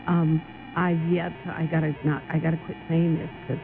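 A woman's voice: low-pitched, little pitch movement, a little fast, gravelly. A woman guest on a radio interview, explaining her volunteer program earnestly with many ums. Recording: tinny phone-like broadcast with music.

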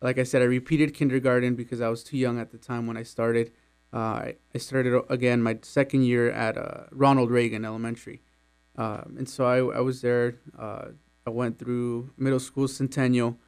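A man's voice: mid-range voice, level tone, moderate pace, a little mellow, clean tone. A young man from Mexico answering interview questions about his childhood, talking casually with many fillers and small laughs. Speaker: employee interviewed about his immigrant journey.

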